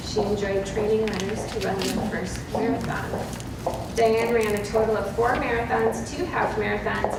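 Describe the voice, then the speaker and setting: A woman host reads a prepared introduction in a steady, measured way. Her voice sits far from the microphone in a very echoey meeting room, with loud noise underneath. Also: medium pitch. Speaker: event host introducing a speaker.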